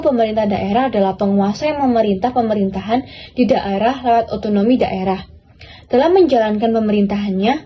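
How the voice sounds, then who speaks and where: Young female student presenter, Indonesian accent, mellow highish voice, formal read script. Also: slight background noise. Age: teenager or young adult. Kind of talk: scripted, formal explanatory reading.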